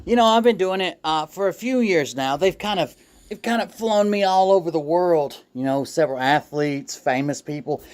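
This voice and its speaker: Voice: mid-range voice, natural voice. Someone playing a chatty, friendly, boastful massage therapist in a comedy skit, making casual small talk.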